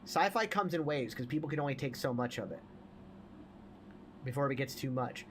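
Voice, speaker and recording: A man's voice: mid-range voice, animated, normal speed, a little hiss or hum. A man chatting casually as a podcast host, profane and opinionated.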